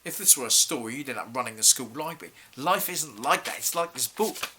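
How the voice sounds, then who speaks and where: A man's voice: mid-range voice, expressive, fairly quick, background noise, lightly acted. A male actor playing a stern, exasperated head teacher in a theatrical monologue, accusing a pupil with formal, clipped questions. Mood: pleading, disappointed. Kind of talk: theatrical monologue for an acting showreel.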